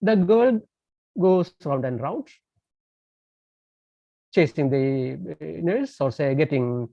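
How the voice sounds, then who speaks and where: A halting male lecturer over a dull phone line. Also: a little high, expressive, gravelly, dark tone, dry recording. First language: Tamil. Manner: hesitant, many fillers and restarts. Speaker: guest lecturer. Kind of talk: online lecture over a call. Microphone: phone on a landline call. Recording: dull dry phone-call audio.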